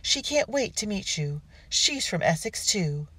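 A woman's voice: mid-range voice, very animated, a little slow, sharp tone, slightly rough, no room sound, pitched above the performer's own voice, heavily acted, rough recording. A woman voicing a fussy, doting loyalist mother in the colonies, cooing and genteel in an audiobook.

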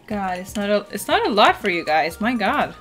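A woman's voice: mid-range voice, very animated, normal speed. A young woman from Norway with a Norwegian accent, chatting casually with viewers while playing a farming game at home, full of "like" fillers and the odd swear. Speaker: streamer. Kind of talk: casual chat while gaming. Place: home room, indoors. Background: soft game music.

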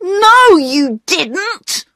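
Proud, defensive young boy played by a woman, storybook dialogue, raised pitch, dry sound. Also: very animated, takes it slowly, a bit bright, no room sound, heavily acted. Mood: angry. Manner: earnest, emphatic, plaintive under pressure. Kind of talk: acted audiobook dialogue.

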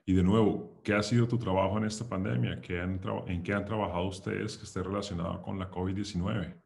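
A man's voice: sits low, fairly even intonation, quick pace, creaky, a little mellow. A middle-aged man asking questions conversationally as the interviewer on a video call. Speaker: host, interviewer. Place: indoors, living room, video call. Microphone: desk microphone with headphones.

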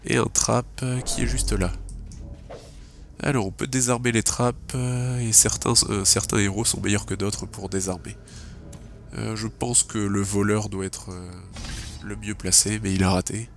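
A man's voice: lowish voice, fairly even intonation, measured pace, soft background music. A man with a cold giving casual French gameplay commentary, thinking aloud with hesitant fillers and English game terms mixed in. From French-speaking. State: has a cold. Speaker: let's play gamer.

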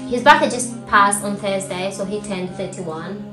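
A woman's voice: mid-range voice, normal speed. Chatty young woman, roomy sound. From Rwanda. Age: 20s. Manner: chatty, laughs often, trails off quietly. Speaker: vlogger co-host. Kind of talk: casual chat. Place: living room sofa, indoors. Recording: roomy with background music.

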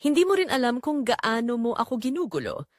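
A woman's voice: on the high side, big pitch swings, brisk, no room sound, clean studio sound, voice pushed up. A woman playing a hesitant, smitten mother in an audio romance, shy and reluctant. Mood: troubled, reluctant.

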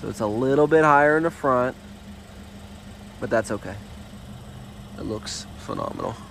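A young man with a congested, stuffy voice talks casually into the phone he is filming with. He stands outside on a quiet street with faint traffic noise behind him, rambling and thinking aloud with plenty of fillers. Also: mid-range voice, moderate pace. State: stuffed-up nose. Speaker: car vlogger. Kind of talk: casual hands-on car vlog. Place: outdoors on a residential street and at a gas station. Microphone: handheld phone camera.

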